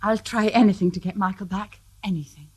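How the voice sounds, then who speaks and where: A woman's voice: medium pitch, steady tone, a little fast, darkish tone, breathy edge, natural voice. An actress playing the anxious, polite wife of a missing man in a radio drama.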